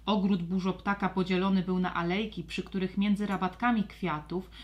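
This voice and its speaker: A woman narrating a scripted children's audio story with expressive, storybook delivery. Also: medium pitch, normal speed, dull audio.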